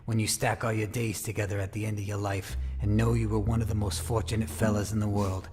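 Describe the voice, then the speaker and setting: A man's voice: on the low side, flat delivery, fast, a little mellow, lightly acted. A male actor playing a jealous, lovesick murder suspect in a noir audio drama, wounded and defiant, talking in streetwise slang. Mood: wistful. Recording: long reverb, clear music underneath.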